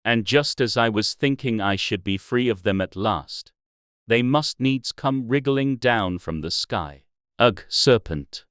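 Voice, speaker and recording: Storybook pigeon mother, put on by a narrator: indignant, suspicious, contemptuous, clear-toned and very animated. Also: measured pace, dry recording, studio quality. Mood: sobbing complaint. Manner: scolding, shrill exclamations, contemptuous.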